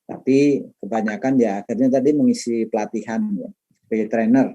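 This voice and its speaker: Mellow middle-aged male lecturer. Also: medium pitch, fairly even intonation, fairly quick, dull audio. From Madiun, East Java, Indonesia. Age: middle-aged, late 50s. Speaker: lecturer and trainer. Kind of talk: informal class introduction, chatty autobiographical talk.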